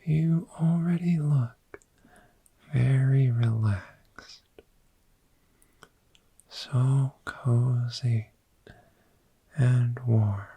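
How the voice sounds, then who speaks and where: A performer voicing a friendly, soothing dragon in an ASMR roleplay, speaking in a gentle, breathy whisper and lingering on repeated words. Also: mid-range voice, drawn-out words, pauses often, no echo, exaggerated voice. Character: friendly soothing dragon therapist showing a cozy hoard.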